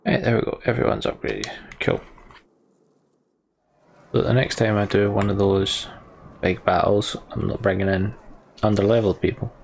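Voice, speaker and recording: A man's voice: lowish voice, animated, normal speed, gravelly, long pauses, a little hiss or hum. A man giving casual let's play commentary, muttering to himself as he plays. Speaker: let's play host reading game dialogue aloud.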